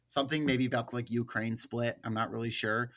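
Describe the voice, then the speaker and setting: A man's voice: medium pitch, steady tone, a little fast, fairly bright tone. A man with an American accent giving political commentary as a podcast host, partly reading from notes.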